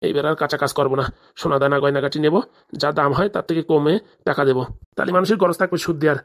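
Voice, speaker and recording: A woman's voice: deep voice, fairly even intonation, rapid-fire. A woman playing a scheming, impatient moneylender father in a comic cartoon, blustering and insistent. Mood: greedy, plotting.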